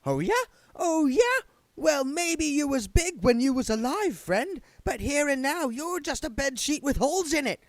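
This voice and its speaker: A high, reedy male ghost, petulant and always ready to pick a fight. He squabbles with the other spirits in a voice lifted far above the reader's own pitch. The sound is dry and close. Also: very animated, measured pace, dry recording, heavily acted. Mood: belligerent. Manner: squabbling, combative.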